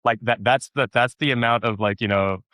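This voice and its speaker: A man chatting casually as an interview guest, telling stories in an animated way, repeating words for emphasis and acting out quotes. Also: mid-range voice, a little fast, no room sound. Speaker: actor being interviewed.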